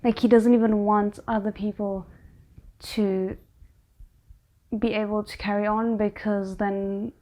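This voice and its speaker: A woman giving casual, expressive reaction commentary on a drama, thinking aloud as she goes. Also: medium pitch, measured pace, long pauses. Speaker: reaction video host.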